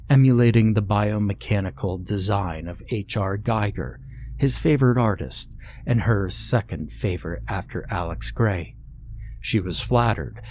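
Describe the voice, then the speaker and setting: A man with a fairly low voice narrates a scripted horror story. There is a faint noise behind his reading. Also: a little mellow.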